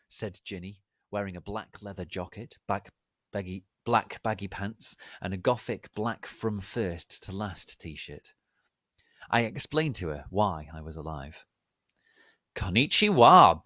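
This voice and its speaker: A man's voice: sits low, no room sound, clean studio sound. A man narrating a piece of fan fiction as a theatrical, mock-serious dramatic reading.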